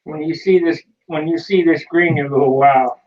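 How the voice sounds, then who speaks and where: A man with a somewhat high voice chats casually on a video call. He sits away from the microphone, so his voice comes through distant and dull, lo-fi. He talks as he handles his gear. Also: fairly even intonation, normal speed. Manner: chatty, fumbles with a can while talking. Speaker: hobbyist showing his paint.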